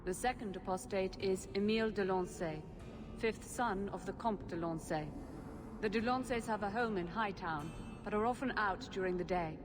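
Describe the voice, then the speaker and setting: An actress playing an emotionless, efficient mage assistant in a video game, formal and precise. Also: female voice, medium pitch, moderate pace, over music, natural voice. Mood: detached, precise. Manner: flat affect, formal, precise. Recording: long hall reverb, clear background noise.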